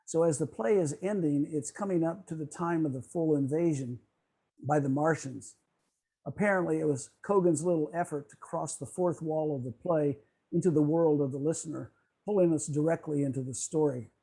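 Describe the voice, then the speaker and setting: A man presenting a semi-scripted talk on a video call, explaining in a measured way with occasional stumbles as he reads. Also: fairly high pitch, warm tone, slightly rough, rough recording. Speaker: club presenter on old-time radio.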